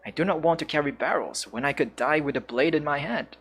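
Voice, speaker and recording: A man's voice: a little high, brisk, a bit bright, breathy edge, pitched above the performer's own voice. A man reading the lines of a proud, weary male giant horned warrior in a video game, grim and defiant.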